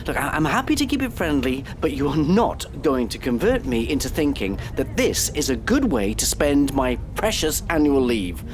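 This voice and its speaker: A man acting as a stiff, reluctant doctor in a radio play, terse and weary. His mid-pitched voice rises and falls widely, with clear car rumble behind it. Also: very animated, moderate pace, few pauses, close to an everyday voice. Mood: exasperated. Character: reluctant, exasperated divorced doctor, stiff and polite.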